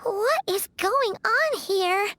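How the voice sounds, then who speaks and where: Tiny childlike game fairy, squeaky and extremely high, animated, excitable, music behind. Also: female voice, slow pace, no room sound, heavily acted. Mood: curious. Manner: excitable, refers to self in third person. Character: small childlike female fairy companion, curious and chatty. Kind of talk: anime game voice acting.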